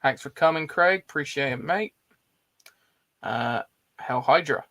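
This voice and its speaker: A man's voice: fairly high pitch, measured pace, pushed and bright. A man, hosting a casual film chat and reading out live comments in an informal, blokey way. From Bristol, England. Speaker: host of a film discussion livestream.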